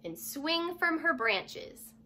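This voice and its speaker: A woman's voice: sits high, big pitch swings, takes it slowly, bright, forceful tone. A young woman reading a children's picture book aloud, explaining and asking simple questions as she goes. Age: young adult. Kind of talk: children's storybook read-aloud with explanatory asides. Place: furnished room at home, indoors.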